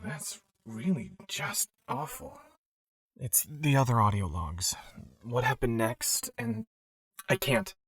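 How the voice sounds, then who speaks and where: A man's voice: fairly low pitch, no echo, clean studio sound, full character voice. A man playing a troubled pony analyst dictating a log in a scripted audio drama.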